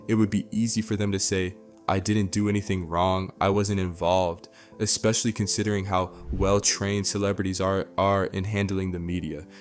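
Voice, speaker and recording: A man with a low voice narrates scripted celebrity news. His delivery is measured and dramatic, with soft music playing underneath. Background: background music.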